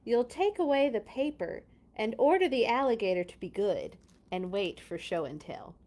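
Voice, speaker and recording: A woman reading a children's storybook aloud in a playful, expressive way, with casual chatty asides. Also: on the high side, moderate pace. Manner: playful, expressive, exclaims and comments while reading.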